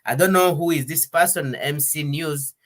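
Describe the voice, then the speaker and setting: A man hosting an interview, talking in a relaxed, halting way with many fillers and restarts, now and then slipping into French. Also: a little high. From Congo. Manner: hesitant, many fillers and restarts, switches between English and French. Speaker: interview host. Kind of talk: conversational interview. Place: Denmark.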